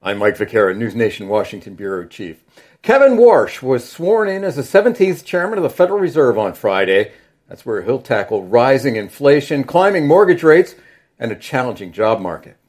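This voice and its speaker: A man anchoring a news segment as bureau chief, in a measured news delivery. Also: medium pitch, very animated, darkish tone.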